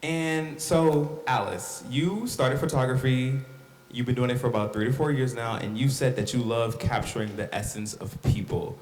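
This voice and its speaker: A man's voice: medium pitch, fairly even intonation, normal speed, a little mellow, echoey room, poor audio. A man hosting a discussion podcast, opening with upbeat introductions and then asking his guests questions. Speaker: host.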